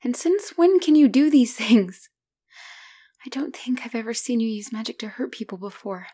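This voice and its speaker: A woman playing a battle-hardened but tender, devoted female knight in a romantic ASMR roleplay, speaking in a breathy, hushed, emotional way. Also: on the high side, moderate pace, natural voice. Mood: shaken, amazed.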